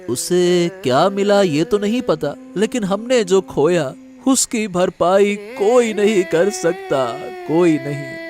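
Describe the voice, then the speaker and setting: Man as a grieving villager, high-pitched, unhurried slow pace, somber, consoling, cartoon moral story. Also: expressive, a little mellow, soft background music. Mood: somber sympathy. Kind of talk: animated moral story drama.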